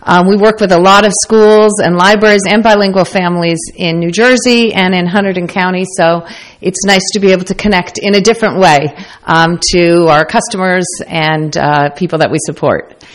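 A woman's voice: fairly low pitch, fairly even intonation, moderate pace. An American woman speaking conversationally as the guest in a radio interview. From United States. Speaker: interview guest, company founder.

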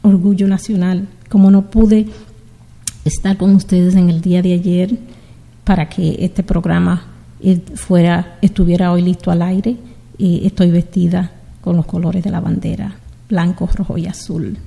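A middle-aged woman from the Dominican Republic hosting a community radio show, speaking in an unhurried, heartfelt way as she greets her listeners. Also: on the low side, flat delivery, gravelly, dark tone, in a large hall. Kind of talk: slow, warm community radio sign-off.

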